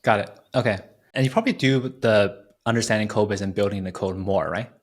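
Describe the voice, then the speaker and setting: A man's voice: on the low side, brisk. A man hosting an interview in a casual, conversational style, introducing and questioning a guest. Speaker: interview host.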